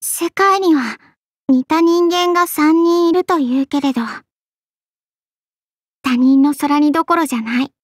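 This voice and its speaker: Little girl, high voice, ladylike, playful, teasing, dry game recording. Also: a little slow, pauses often, dry recording. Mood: amused, teasing. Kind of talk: Japanese game character dialogue.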